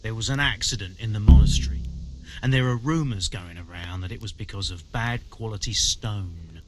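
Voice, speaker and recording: An actor playing a proud, indignant medieval quarry master in a video game, blunt, grumbling and defensive. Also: male voice, fairly low pitch, normal speed, heavy background noise, natural voice. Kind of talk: video game dialogue acting.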